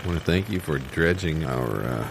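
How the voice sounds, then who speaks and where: A man acts out a small-town mayor in improvised audio comedy. His very low voice stumbles through pompous, grateful political platitudes, with faint room ambience behind. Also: animated, moderate pace, vocal fry, slight background noise, exaggerated voice. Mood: grateful, hesitant.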